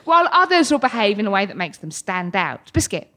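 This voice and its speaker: A woman's voice: mid-range voice, big pitch swings, a little fast, noisy background. A British woman in her thirties telling a comic story live, playfully, with frequent involuntary verbal tics breaking into her speech. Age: 30s. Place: live storytelling event with an audience.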